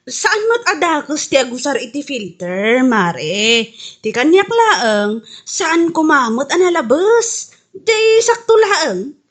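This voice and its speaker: A woman's voice: high-pitched, very animated, slow pace, full character voice. A woman playing a blunt, chatty female friend with an Ilocano Filipino accent in a radio comedy drama. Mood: teasing.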